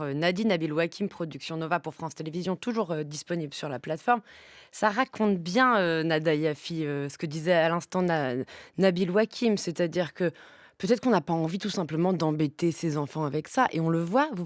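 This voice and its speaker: A woman hosts a radio talk, framing questions in an articulate, lively way with a few stumbles. Her voice sits a little low, recorded close and dry in a studio. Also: dry recording. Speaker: radio host. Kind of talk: radio interview hosting.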